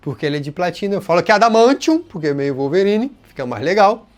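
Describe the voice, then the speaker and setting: A man's voice: high voice, quick pace. A man from Rio de Janeiro with a carioca accent, casually telling a personal story to his channel's viewers. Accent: Rio de Janeiro Portuguese. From Rio de Janeiro, Brazil. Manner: casual and expressive, voices others' words in quotes. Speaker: channel host.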